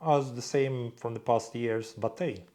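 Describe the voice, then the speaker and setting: A young man from Croatia speaks in a relaxed vlog Q&A. He answers fans off the cuff, bluntly, and swears freely. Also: mid-range voice, measured pace. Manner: blunt, swears freely, reads viewer questions aloud in a louder voice. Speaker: vlog host answering viewer questions. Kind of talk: casual Q&A monologue.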